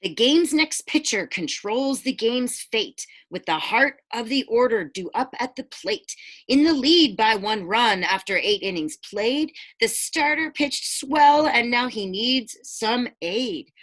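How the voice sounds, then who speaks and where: A woman teacher reading a picture book aloud to children, expressive, with chatty explanations and questions for the listeners. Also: on the high side.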